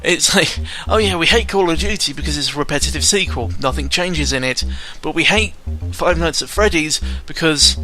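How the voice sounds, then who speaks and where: Bright-voiced man rambling about video games. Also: on the high side, moderate pace. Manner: sweary, opinionated, exasperated ranting. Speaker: gaming vlogger. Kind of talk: casual rambling video game commentary.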